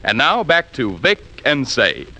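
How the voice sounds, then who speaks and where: A man as a 1940s radio commercial announcer, delivering an emphatic, polished sales pitch. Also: wildly swooping pitch, a little slow, sharp tone.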